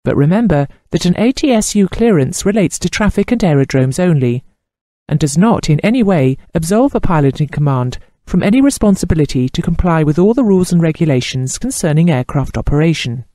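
A man reading scripted instructional narration in a formal, measured manner with precise enunciation. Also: fairly high pitch, animated, mellow, no echo, studio quality. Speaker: training course narrator.

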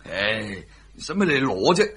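An actor playing a demanding, grumpy older father-in-law in a radio drama, with a husky, impatient, scolding delivery. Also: fairly low pitch, big pitch swings, unhurried, fairly bright tone, a little hiss or hum. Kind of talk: Cantonese radio drama acting.

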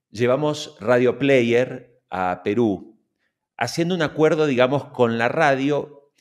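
Male interviewer, middle-aged, Latin American Spanish, average pitch, deliberate and emphatic conversational delivery on a close mic. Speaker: podcast host, interviewer. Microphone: large mic close in front.

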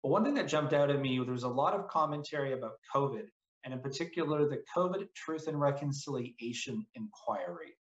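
A man's voice: medium pitch, lively intonation, brisk, muffled recording. A man hosting an interview show from an office over a video call, giving formal introductions and questions. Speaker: interview host. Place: indoor office room. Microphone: webcam on video call. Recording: roomy video call audio.

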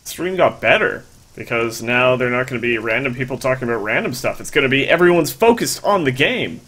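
A man's voice: mid-range voice, animated, fairly quick, bright, forceful tone, rough recording. Male streamer, 30s, headset mic, jokey banter, mid-range voice. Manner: joking, teasing banter with friends. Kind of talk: casual gaming stream chat. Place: small indoor room at a desk.

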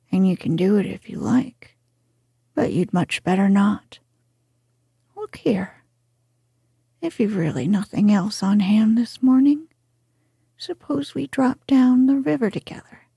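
A woman's voice: medium pitch, vocal fry, slightly rough, no echo, clean studio sound, heavily acted. A dreamy whispering water rat, as a woman reads him. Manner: whispered, soft and unhurried. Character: cheerful dreamy male water rat, boat-lover.

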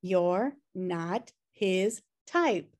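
A woman's voice: on the low side, animated, drawn-out words, darkish tone. A woman playing a chill, messy, sarcastic twin sister in a comedy table read on a video call. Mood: defiant. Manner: wry, sarcastic. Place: home room, video call.

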